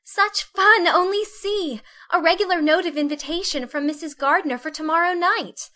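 A woman voicing a girlish, excitable teenage older sister in a storybook, fretful and prim, speaking in exclamations. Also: sits high, moderate pace, voice pushed up. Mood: delighted. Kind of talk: storybook character reading.

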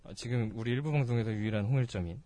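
A man's voice: mid-range voice, rapid-fire, dark tone. A young man hosting a casual comedy talk show, teasing his guests in a playful way. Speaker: host.

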